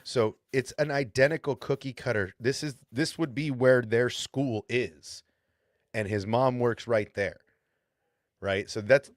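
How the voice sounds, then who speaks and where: A man's voice: mid-range voice, dry recording, studio quality. A man with a lisp, hosting a podcast in casual, rambling chat and often checking in with "right?". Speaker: podcast host.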